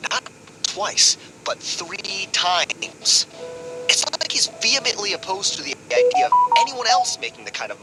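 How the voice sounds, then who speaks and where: A man's voice: fairly high pitch, low-quality recording. A man playing a disgruntled, jealous researcher in a video game, grumbling in a sardonic, emphatic way. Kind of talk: scripted video game voice acting. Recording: game audio with music behind.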